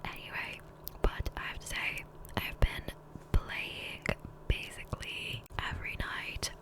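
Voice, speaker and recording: A woman whispering softly and breathily in a chatty, rambling ASMR style over gameplay, close to a condenser microphone. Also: takes it slowly, poor audio. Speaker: gaming ASMR creator. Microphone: close USB condenser microphone.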